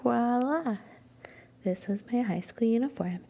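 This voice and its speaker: A woman playing a shy, sweet young student girlfriend in an ASMR roleplay, speaking softly and breathily with gentle hesitation, often slipping into a whisper. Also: on the high side, measured pace, gravelly, very noisy. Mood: bashful, musing.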